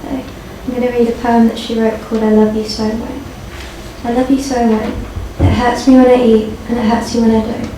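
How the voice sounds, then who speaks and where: A woman's voice: a little high, little pitch movement, brisk. A woman reading to an audience as a guest at a live event. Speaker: guest poetry reader. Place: indoor live launch event. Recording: echoey, noisy hall, far from mic.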